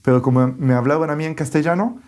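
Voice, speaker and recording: Man, explaining at a steady pace. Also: fairly high pitch. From Israel. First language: Hebrew. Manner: unhurried, pauses often with filler sounds while searching for words. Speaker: guest, tour guide and Bible teacher. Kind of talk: explanatory interview talk.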